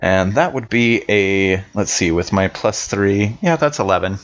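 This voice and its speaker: A man's voice: lowish voice, unhurried, muffled recording. A man with a laid-back, slangy way of talking, improvising as a player in a tabletop roleplaying game.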